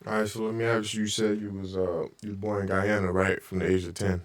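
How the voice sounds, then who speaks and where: Low-voiced dude hosting a chill podcast, slangy and easygoing. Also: male voice, little pitch movement, quick pace. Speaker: podcast host. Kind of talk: casual interview.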